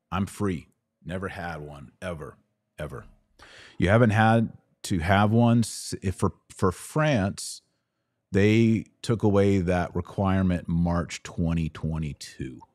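A man's voice: deep voice, takes it slowly, darkish tone, no echo, clean studio sound. An American man hosting a casual livestream, chatting informally with playful slang as he answers viewers. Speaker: podcast host.

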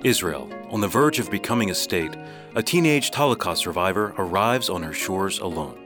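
A man's voice: deep voice, expressive, normal speed, music in the background. A man reading a scripted radio narration in a storytelling style.

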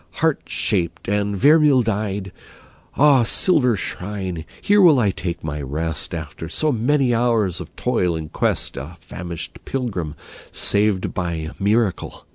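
A man's voice: on the low side, a little mellow, no room sound, pitched above the performer's own voice. A man voicing a devoted young lover in a recited poem, speaking earnestly and urgently.

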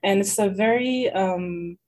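A woman's voice: medium pitch, slow, darkish tone. A young woman raised in Lebanon, talking as a guest in a casual interview, hesitant and full of fillers. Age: young adult.

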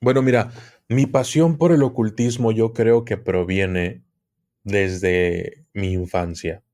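A man speaking as a podcast guest, telling his story in a thoughtful, halting way with long pauses. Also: on the low side, steady tone, a little mellow. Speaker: podcast guest, occult documentarian. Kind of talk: reflective interview storytelling.

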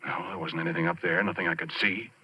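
An actor playing a haunted, plain-spoken oil rig roughneck, telling his story haltingly and colloquially in 1940s radio drama style. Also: low voice, steady tone. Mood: hushed dread. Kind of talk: 1940s radio drama acting, first-person narration. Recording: muffled vintage 1940s radio recording, organ-era music.

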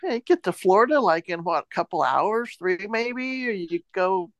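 A woman's voice: mid-range voice, animated, moderate pace, dry recording. Easygoing American female co-host, mid-range voice, conversational, recorded dry. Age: middle-aged or older. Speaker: podcast co-host. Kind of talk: casual chat.

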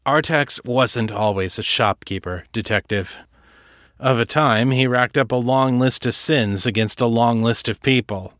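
Gruff disembodied ex-commander for an audiobook, played by a male narrator: folksy, cagey, wry, very dry close sound. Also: medium pitch, measured pace, fairly bright tone, no room sound, close to an everyday voice. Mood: explaining.

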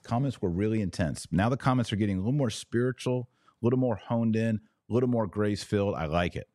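Very deep-voiced man, preachy podcast host. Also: fast, mellow, no room sound, clean studio sound. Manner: emphatic and preachy, with short declarative repeats. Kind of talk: live-stream religious commentary, reading out viewer comments.

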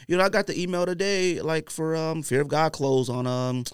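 Smooth guy hosting a sneaker podcast, slangy storytelling, super dry sound. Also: fairly high pitch, normal speed, no room sound. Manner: conversational, slangy, animated storytelling. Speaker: podcast host. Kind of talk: casual podcast chat about sneakers.

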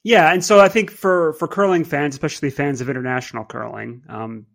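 Gravelly-voiced male podcast host. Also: a little high, a little fast, fairly bright tone. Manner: thoughtful, hesitant, many fillers and restarts. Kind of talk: casual conversational podcast talk.

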